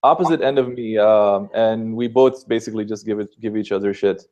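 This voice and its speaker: A man's voice: on the low side, fairly quick, a little mellow, highs cut off. A man from Sri Lanka answering interview questions in an earnest, explanatory way, with many filler sounds. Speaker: guest answering questions about Islam.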